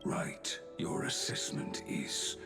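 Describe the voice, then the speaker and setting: An actor playing a solemn master smith in a video game, speaking in halting, inverted phrases. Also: male voice, pushed and bright. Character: gruff, solemn male master smith with inverted word order. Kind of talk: scripted video game acting. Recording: game music behind the voice.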